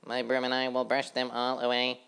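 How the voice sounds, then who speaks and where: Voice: medium pitch, monotone, brisk, gravelly, fairly bright tone, smooth, voice pushed up. A narrator voicing a fussy housefly who sweeps the road and never wants to rest. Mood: eager. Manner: eager, fussy.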